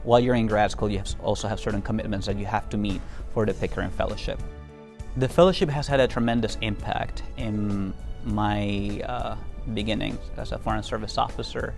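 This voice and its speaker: A man's voice: fairly low pitch, steady tone, brisk, creaky, darkish tone, soft background music. A young man from Venezuela, speaking earnestly in an interview testimonial about his work as a Foreign Service officer, with frequent fillers and restarts.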